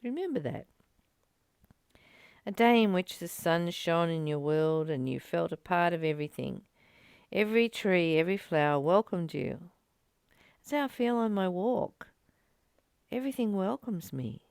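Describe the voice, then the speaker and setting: A woman giving a reflective spiritual teaching, reading and commenting in short, earnest, gently urging phrases with pauses. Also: lowish voice, lively intonation, gravelly, clean tone, dry recording, studio quality.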